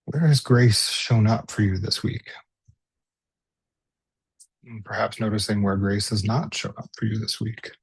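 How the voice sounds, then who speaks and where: A man leading a guided body scan meditation as a teacher, speaking gently and unhurriedly with pauses. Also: lowish voice, slightly rough, no echo, poor audio.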